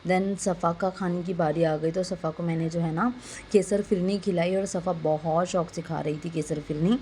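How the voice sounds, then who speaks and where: A young woman narrates a vlog in a soft, mellow voice that sits a little low. Her throat is sore and a bit hoarse, and she chats casually, dropping in English words. There is faint noise behind the recording. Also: steady tone, normal speed. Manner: conversational, mixes in English words. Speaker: vlogger narrating a family outing. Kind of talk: casual voice-over narration, chatty.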